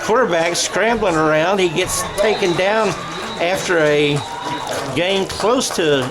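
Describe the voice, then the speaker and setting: A man's voice: high voice, takes it slowly, poor audio. A man doing radio-style sports play-by-play in a conversational way, as if thinking aloud. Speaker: play-by-play commentator. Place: high school football game. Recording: crowd and music behind. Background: stadium crowd and music.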